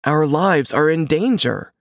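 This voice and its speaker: A woman's voice: low voice, animated, measured pace, no room sound, studio quality, heavily acted. A woman voicing a grim, tense husband in an audiobook suspense story, terse and pained. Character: grim, tense husband who just lost lottery fortune. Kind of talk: audiobook character dialogue.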